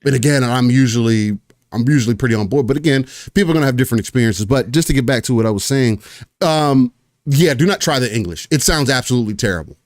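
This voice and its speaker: A man chatting about games on a podcast, his voice mid-pitched and ordinary. He rambles, restarts sentences and fills gaps with ums. The sound is dry and close, with no room around it. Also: expressive, fast, dry recording. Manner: rambling, many fillers and restarts. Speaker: podcast host. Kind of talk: casual gaming chat.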